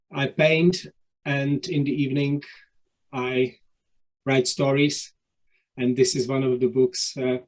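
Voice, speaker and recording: A man with a Czech accent, an author and illustrator, reading his picture book aloud in gentle, unhurried storytelling on a video call. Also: medium pitch, rough recording. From Czech Republic.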